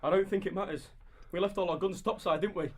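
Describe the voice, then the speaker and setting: An actor playing a gruff, wry, seasoned First World War tunneller in an audio drama, with dry humour. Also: male voice, high-pitched, steady tone, fast, a little mellow. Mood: grimly resolved. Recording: noisy track with clicks.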